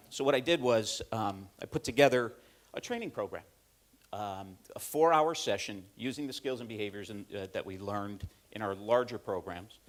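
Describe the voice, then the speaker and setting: A middle-aged man from upstate New York giving a conference presentation, telling self-deprecating stories with many fillers and restarts. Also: fairly low pitch. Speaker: corporate learning speaker. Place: conference room.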